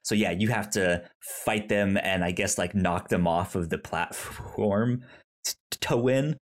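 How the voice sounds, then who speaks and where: A man's voice: deep voice, a little slow. A man with a pronounced stutter co-hosting a film podcast, chatting casually in an enthusiastic, rambling way.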